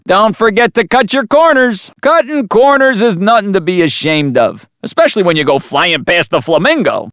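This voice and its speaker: An actor playing a folksy, wisecracking American announcer in a racing video game, with showy scripted commentary. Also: male voice, high-pitched, no echo. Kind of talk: scripted radio-DJ style race commentary. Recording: muffled, lo-fi game audio.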